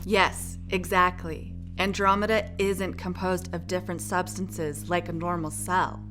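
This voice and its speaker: A woman's voice: lowish voice, little pitch movement, unhurried, lightly acted. A woman acting as a blunt, sardonic scientist in an amateur radio play. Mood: intent, matter-of-fact. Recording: roomy echo, faint music.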